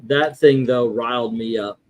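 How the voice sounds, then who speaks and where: A man hosting a casual livestream, talking haltingly and often interrupting himself. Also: mid-range voice, a little mellow. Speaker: livestream host.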